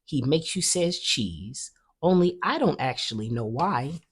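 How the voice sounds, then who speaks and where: A woman reading a children's story aloud with expressive narration. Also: low voice, a little slow, breathy edge. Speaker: storybook reader.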